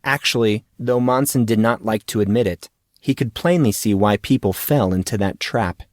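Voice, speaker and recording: A man's voice: on the low side, moderate pace, no room sound, clean studio sound. A man reading scripted fiction as an audiobook narrator.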